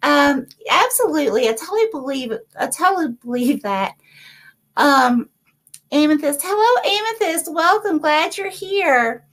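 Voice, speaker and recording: A woman's voice: sits high, expressive, a little slow, a bit bright. A woman hosting a live paranormal interview stream, reading viewer comments aloud and reacting to them enthusiastically. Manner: enthusiastic, effusive. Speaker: host of a paranormal interview show.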